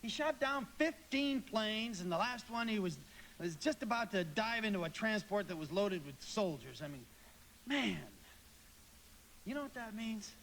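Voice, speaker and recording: High-pitched, frantic small-town family man. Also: expressive, normal speed, fairly bright tone, slight background noise. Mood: excited, friendly. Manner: agitated, stammering, repeats himself. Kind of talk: live radio play acting.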